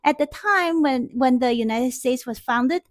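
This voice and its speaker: A woman's voice: sits high, measured pace, dry recording, clean studio sound. A middle-aged woman math teacher in a classroom, telling a story in a chatty, rambling way with many fillers, on a headset mic. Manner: chatty, many fillers and restarts, animated gestures. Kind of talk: casual storytelling lecture. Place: classroom in front of a whiteboard.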